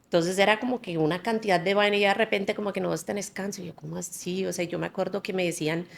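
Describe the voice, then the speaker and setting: An American-born woman in her forties, a native English speaker, talks as a podcast guest. Her voice carries a gravelly fry, and her pitch sits in the middle for a woman. She tells her story in an animated way, laughing often and restarting phrases. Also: fast, a little hiss or hum. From United States. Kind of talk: candid conversational storytelling.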